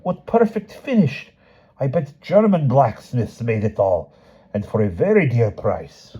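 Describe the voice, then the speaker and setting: A man voicing a simple village blacksmith, marveling aloud in high, wide-eyed exclamations. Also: sing-song, unhurried, warm tone, slightly rough. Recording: dull lo-fi recording.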